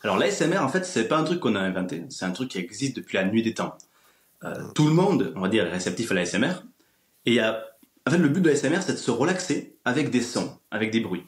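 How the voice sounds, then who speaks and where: Man, twenties, rapid casual podcast talk, explanatory, roomy echo. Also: medium pitch, sing-song. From France, small village. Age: 20s. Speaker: interview guest, ASMR video creator. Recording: roomy, echoey sound.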